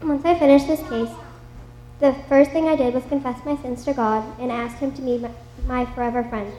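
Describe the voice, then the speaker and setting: A woman's voice: high voice, steady tone, brisk, dark tone, roomy sound, slight background noise, lightly acted, low-quality recording. A woman voicing a chatty detective in a children's story, earnestly narrating a moral lesson.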